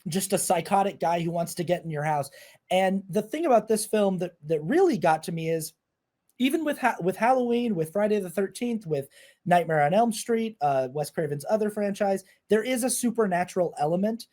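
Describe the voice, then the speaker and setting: A man hosting a film podcast, talking casually in a halting way, often circling back to correct himself. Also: high voice, lively intonation, darkish tone.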